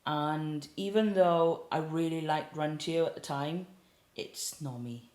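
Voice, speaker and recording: A woman's voice: deep voice, unhurried. Chatty Welsh woman in her late twenties. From South Wales. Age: late 20s. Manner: chatty, relaxed, with frequent fillers. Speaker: guest singer-songwriter. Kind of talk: casual interview chat. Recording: roomy echo.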